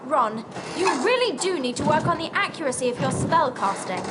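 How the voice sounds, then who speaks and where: A woman's voice: high voice, big pitch swings, fairly quick, very noisy. A woman voicing an earnest, bossy young schoolgirl witch in a video game, precise and admonishing, with an English accent. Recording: loud music behind the voice.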